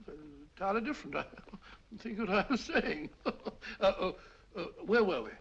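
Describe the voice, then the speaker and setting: A flustered bumbling doctor. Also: male voice, high-pitched, very animated, a little fast, a bit bright, slightly rough, full character voice. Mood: apologetic. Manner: fussy, stammering, easily rattled. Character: flustered bumbling male doctor companion, middle-aged. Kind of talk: 1940s radio acting. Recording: muffled old radio recording with faint hiss.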